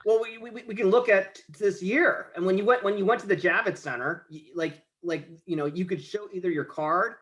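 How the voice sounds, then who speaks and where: A man's voice: sits high, normal speed, hardly any pauses, fairly bright tone. A man in his late forties from the United States, a podcast guest talking about marathons, rambling and restarting his sentences often. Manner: rambling, many restarts and stammers. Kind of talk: casual conversation.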